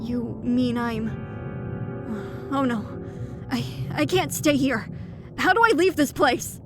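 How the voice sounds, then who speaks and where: Woman as a high-voiced feisty goat girl. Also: takes it slowly, over music. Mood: bewildered, alarmed. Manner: defiant, startled, expressive. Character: feisty, bewildered young goat girl, newly dead heroine. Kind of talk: audio drama character acting.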